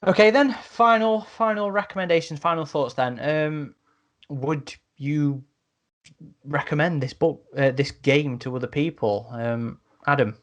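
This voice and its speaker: A man's voice: fairly high pitch, a little slow. A man hosting a podcast discussion in a casual way, repeating words as he works through a thought. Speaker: podcast host.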